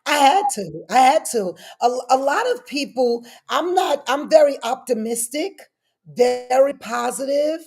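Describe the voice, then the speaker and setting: High-voiced middle-aged woman, emphatic interviewee. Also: animated, measured pace. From born in Frankfurt, Germany; lived in New York City. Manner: emphatic, repeats phrases for emphasis. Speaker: guest, daycare business owner. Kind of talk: animated personal interview talk.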